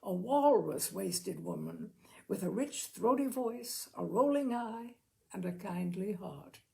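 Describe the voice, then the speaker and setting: A woman narrating a literary short story expressively, with measured, careful phrasing. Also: mid-range voice, lively intonation, creaky, a little mellow. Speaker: audiobook reader, storyteller.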